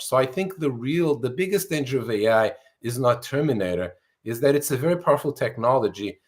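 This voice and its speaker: A man in his sixties from Portugal talks through his ideas as an interview guest. He explains things earnestly and fluently, with a few restarts, at a middle pitch. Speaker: author and guest. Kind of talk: interview answers.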